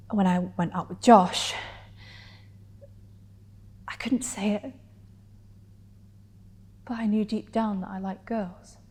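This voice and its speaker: A woman performing a dramatic stage monologue as a wounded, defiant young woman, deliberate and emphatically articulated with weighted pauses. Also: mid-range voice, darkish tone. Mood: pained confession.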